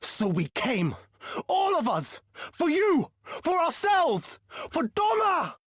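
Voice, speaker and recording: An actor playing a defiant, guilt-ridden young rebel in a game cutscene, emotional, earnest and intense. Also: male voice, extremely high pitch, huge pitch swings, takes it slowly, fairly bright tone, no echo, studio quality, exaggerated voice. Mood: rousing resolve. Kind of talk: dramatic game cutscene acting.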